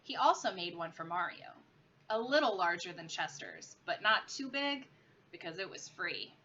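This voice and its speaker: Female teacher for children's read-aloud: bright, very lively intonation, slightly muffled lo-fi recording with noticeable noise. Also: lowish voice, normal speed. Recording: muffled lo-fi with clear background noise.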